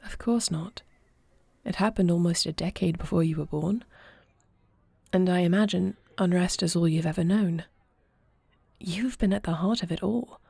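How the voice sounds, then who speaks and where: A woman plays an earnest fantasy princess who leads in war. Her voice is low and mellow with a gravelly edge, and she speaks formally and solemnly, as in a scripted audio drama. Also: dry recording. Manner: formal, solemn, deliberate phrasing. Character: young adopted princess and war leader, earnest, measured, regal. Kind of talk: scripted audio roleplay acting.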